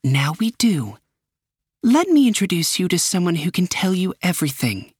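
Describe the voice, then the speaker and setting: A man voicing a calm, matter-of-fact woman government director in a storybook reading. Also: sits high, normal speed, breathy edge, dry recording, studio quality, voice pushed up, full character voice.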